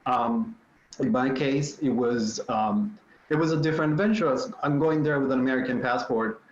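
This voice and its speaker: A man in his fifties with a Puerto Rican Spanish accent speaks in a relaxed, storytelling way and fills pauses with ums. His voice sits at an ordinary pitch for a man. It sounds a little dull and roomy, as if picked up in a room at home over a video call. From Puerto Rico. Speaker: panelist. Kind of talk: virtual panel talk. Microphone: video call mic.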